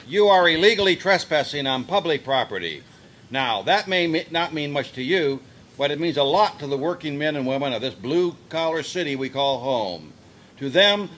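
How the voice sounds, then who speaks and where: A man's voice: a little high, lively intonation, moderate pace, fairly bright tone, a little hiss or hum. A man playing an earnest, pompously heroic bus police officer in a live comic radio play, stumbling a little as he reads. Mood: pompous, indignant. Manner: stumbling over lines while reading.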